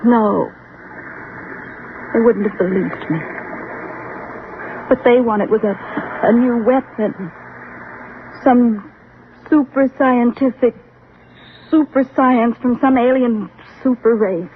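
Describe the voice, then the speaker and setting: A woman's voice: fairly high pitch, slow, pauses often. An actress playing a bitter, guarded, lonely woman with a secret in an old radio drama, intense and dramatic in delivery. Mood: bitter, impassioned. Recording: muffled, noisy vintage radio recording.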